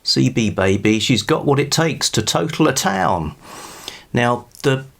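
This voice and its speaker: A British man rambling informally about books to camera in a halting, thoughtful, wryly amused way. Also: mid-range voice, lively intonation, creaky, fairly bright tone. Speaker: book review vlogger.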